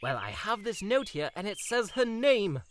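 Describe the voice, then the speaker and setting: A man's voice: sits high, big pitch swings, moderate pace, slight background noise. A man playing a melodramatic, lovestruck, oblivious young prince with florid formal phrasing in a comedic audio drama. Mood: smugly delighted.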